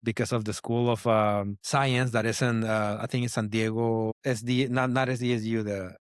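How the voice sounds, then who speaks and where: A man's voice: mid-range voice, flat delivery, moderate pace, clear, resonant tone, no echo, studio quality. A man from Mexico with a heavy Mexican Spanish accent, Spanish his first language, telling a rambling story as a guest in a casual interview, with many fillers and restarts. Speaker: designer guest.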